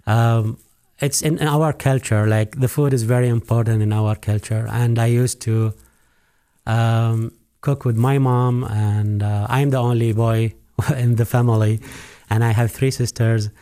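A man's voice: medium pitch, little pitch movement, a little slow, warm tone. A man from Iraq, a restaurant co-owner, answering interview questions hesitantly with many fillers.

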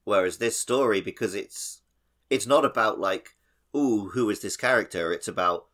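A man co-hosts a casual gaming podcast. His voice sits a little low, and he talks in a conversational way. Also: normal speed, a bit bright. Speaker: podcast host.

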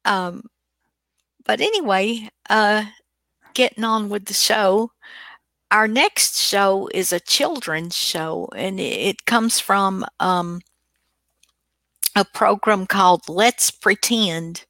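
A woman's voice: mid-range voice, slow pace, pauses often, a bit bright, no room sound. A woman, hosting and casually chatting as she introduces old radio dramas. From United States. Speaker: host.

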